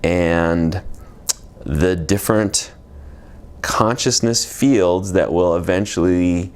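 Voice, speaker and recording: A man in his forties with a very deep voice talks unscripted about spiritual growth. He meanders and restarts his thoughts, filling gaps with ums and "you know". He sounds like a healer explaining his work. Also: darkish tone, clear, resonant tone. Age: 40s. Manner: reflective and meandering, with many fillers and restarts. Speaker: energy healer. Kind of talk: unscripted spiritual teaching monologue.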